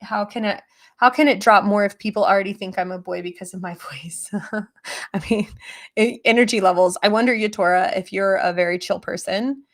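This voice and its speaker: A young adult woman chatting casually on a livestream, rambling with many fillers, her voice lower than usual today. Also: normal speed. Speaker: streamer answering chat.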